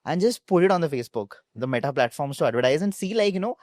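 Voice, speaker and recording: A man's voice: a little high, animated, quick pace, darkish tone, no echo, clean studio sound. A man with an Indian accent, a startup founder answering conversationally in an interview.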